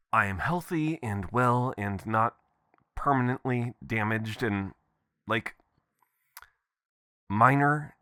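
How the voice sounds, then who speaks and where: An affectionate, adventurous boyfriend, played by a man, leaves an intimate voicemail in a deep voice with a bright, crisp edge. He talks casually and playfully, taking his time. The sound is close and dry, in the manner of ASMR roleplay audio. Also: no echo, heavily acted. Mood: sheepish, amused. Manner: casual, expressive, chuckling, swearing. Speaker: audio performer.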